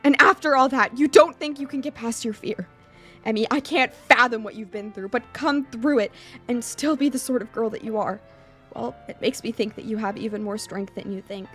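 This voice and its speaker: A woman's voice: medium pitch, level tone, a little fast, few pauses, warm tone, faint music behind, natural voice. A woman voicing a devoted, supportive teenage boy in love, speaking sincerely and insistently in a visual novel. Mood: earnest, insistent.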